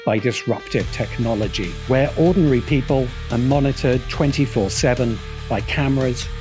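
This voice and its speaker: A man's voice: medium pitch, normal speed. A man delivering scripted polemical commentary as a video essay host, with a dramatic, scornful, emphatic manner. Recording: music bed behind voice. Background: background music.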